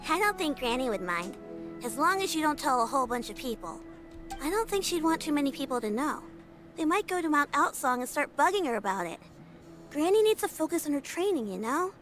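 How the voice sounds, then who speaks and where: A woman's voice: high-pitched, sing-song, a little fast, fairly bright tone. A woman voicing an easygoing young girl in a video game, with a casual, youthful way of talking. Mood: relaxed, protective. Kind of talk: video game character dialogue. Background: background music.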